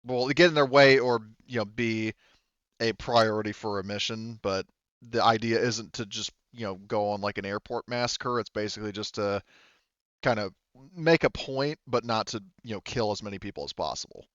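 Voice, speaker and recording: A man improvising as game master of a tabletop roleplaying game, halting as he searches for words and swearing casually. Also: lowish voice, fairly bright tone, smooth, dry recording, dull audio. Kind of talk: improvised narration of a tabletop roleplaying game, relaying characters' replies.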